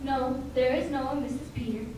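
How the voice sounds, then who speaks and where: A woman's voice: high voice, fairly even intonation, normal speed, lightly acted. A woman playing a formal, polite house servant in a radio play, heard in an echoey room. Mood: formal, welcoming. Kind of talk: amateur radio play acting. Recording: very echoey room, distant mic, noisy.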